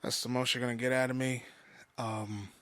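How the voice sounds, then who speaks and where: Young man talking haltingly, close dry sound. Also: medium pitch, flat delivery, a bit bright, no room sound. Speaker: podcast host. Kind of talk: personal monologue, confessional storytelling.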